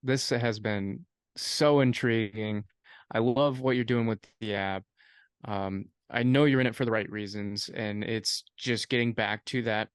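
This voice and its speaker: A man's voice: mid-range voice, no room sound, clean studio sound. A man hosting a casual podcast, enthusiastic and loose-tongued, praising his guest. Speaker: podcast host.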